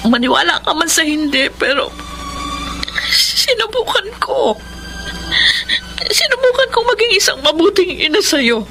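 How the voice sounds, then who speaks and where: A woman's voice: very high voice, big pitch swings, unhurried, long pauses, over music, exaggerated voice. An actress playing a guilt-ridden, troubled mother in a Filipino radio drama, with an emotional, confessional delivery. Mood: pleading, guilty.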